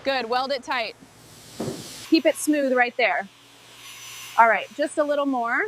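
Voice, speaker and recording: A woman leading a restoration crew, giving calm, clipped instructions in scripted workshop dialogue. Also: high voice, unhurried, a little hiss or hum, rough recording.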